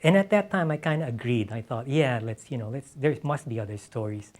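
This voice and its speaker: A man's voice: mid-range voice, brisk, a little mellow. Reflective male author, searching for his phrasing. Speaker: author discussing his novel. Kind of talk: reflective on-stage conversation.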